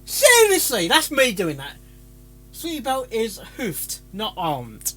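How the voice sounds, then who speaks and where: A man's voice: very high-pitched, huge pitch swings, takes it slowly, loud music behind the voice. A man chatting casually as a live-stream reactor, stumbling over his words and interrupting himself. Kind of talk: casual live-stream chat with rehearsed intros.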